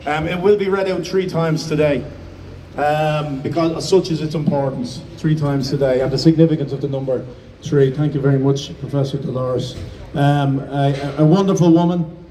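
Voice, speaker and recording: A man's voice: on the high side, steady tone, moderate pace, warm tone, far from the mic, slight background noise, poor audio. An Irish-accented man speaking as master of ceremonies, giving a declamatory public address with some hesitations. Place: outdoors in front of a building.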